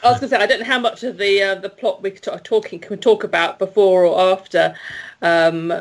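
A woman's voice: mid-range voice, steady tone, brisk. A woman, a festival jury member, chatting enthusiastically about a film with frequent "um"s and laughs. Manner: enthusiastic, laughing, hesitant with fillers. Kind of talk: casual film discussion.

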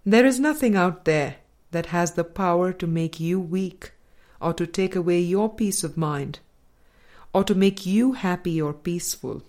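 A woman narrates a spiritual self-help book as a scripted reading. Her voice sits a little low for a woman. She takes her time, moving very slowly and deliberately through each sentence. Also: a little mellow. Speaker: audiobook reader.

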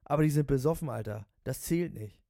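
Storybook cabbie, performed by a man: high-pitched, loudmouthed fanatic, slangy and shouting, very dry recording. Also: a little fast, no room sound, lightly acted. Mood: indignant, fired up. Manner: slangy, bragging, shouting exclamations. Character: ecstatic, loudmouthed sports-mad male taxi driver.

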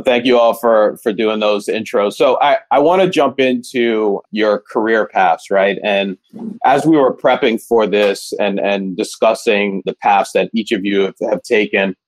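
A man moderates a video call panel, leading the guests into questions. His voice sits low and his manner is casual, with frequent tag questions. The sound is dry. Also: no echo, rough recording. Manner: conversational, often adds "right?".

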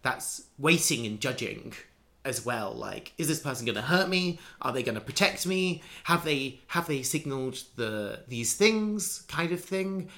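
A man's voice: medium pitch, expressive, measured pace, sharp tone. A man in casual conversation, musing at length with many restarts and fillers. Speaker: conversation partner.

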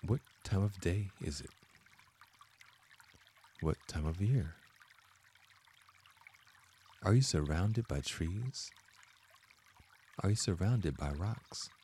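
A man narrating a scripted guided meditation as host, in measured, deliberate phrases with frequent pauses. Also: deep voice, animated, a little mellow, slight background noise.

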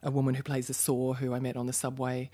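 A woman's voice: a bass voice, quick pace. An Australian woman, a poet and musician, talking as an interview guest, reflective and full of stories. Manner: reflective, storytelling, lively asides. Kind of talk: casual interview chat.

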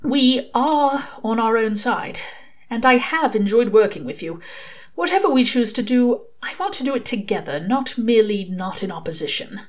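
A woman's voice: fairly high pitch, very animated, moderate pace, voice pushed up. Prim male angel, voiced by a woman: formal, fussy, earnest, a touch smug, in a storybook reading. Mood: nervous, hopeful. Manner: formal, mannered wording.

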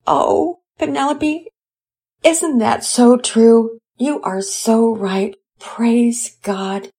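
A tearful, overwhelmed mother speaks in a high, shaky voice, stumbling over her words between sobs, as a woman reading a storybook puts her on. The tone stays husky and breathy throughout. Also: fairly even intonation, mellow, heavily acted. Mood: grateful. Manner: sobbing, halting and stammering. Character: distraught middle-aged mother, tearful and ashamed.

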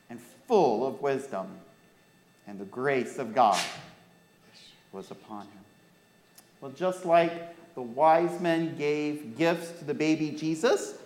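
American man, pastor telling a story to his church. Slow, deliberate delivery, speaks directly to listeners, reverberant room. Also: a little high. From United States. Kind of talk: storybook-like Bible reading to a congregation. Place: church during a worship service. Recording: echoey room.

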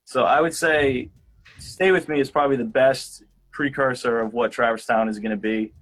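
A man's voice: medium pitch, moderate pace, poor audio. A young man from southeastern Massachusetts, a band member guest, chatting casually and hesitantly, full of "kinda" and "uh". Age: young adult. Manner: relaxed, hesitant, many "kinda" and "uh". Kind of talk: casual interview chat.

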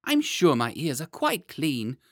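Innocent, well-mannered small boy for storybook, played by an adult man; high, lively, restless delivery. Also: unhurried, no echo, studio quality, heavily acted. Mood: whiny, protesting. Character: polite, fidgety, innocent little boy made king.

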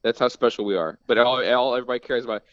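A man's voice: mid-range voice, very fast, fairly bright tone, smooth, dry recording, highs cut off. Joking young man from Kentucky, dry sound. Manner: self-deprecating, joking. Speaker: guest. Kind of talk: casual podcast chat.

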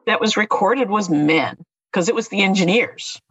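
A man's voice: high-pitched, normal speed, fairly bright tone, breathy edge, dry recording. An older man from Philadelphia with a slight Philadelphia accent, chatting casually and laughing often in a panel discussion.

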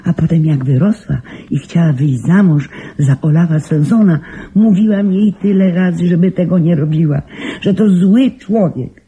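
An actress playing a very old, confused and stubborn woman in a radio drama, rambling insistently in a frail, husky voice. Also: fairly low pitch, moderate pace, warm tone. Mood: plaintive, confused. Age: older.

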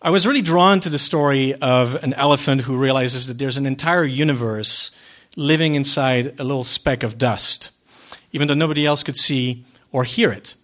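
A man's voice: mid-range voice, normal speed, fairly bright tone. A man from Switzerland giving a casual personal talk to an audience, with loose storytelling and fillers. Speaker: filmmaker and speaker.

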